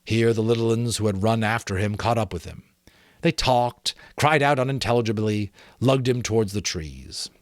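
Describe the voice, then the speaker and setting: A middle-aged man with a fairly low voice narrates a novel as an amateur audiobook reader. His delivery is dramatic and expressive, with measured phrasing. The sound is close and dry, with very little room in it. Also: no room sound.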